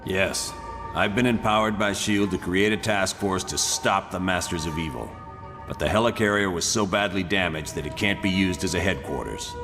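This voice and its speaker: A man's voice: deep voice, normal speed. A man playing a serious, matter-of-fact colonel who leads a team in a video game cutscene. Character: male spy-agency colonel, gruff, serious team leader. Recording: long reverb, music behind.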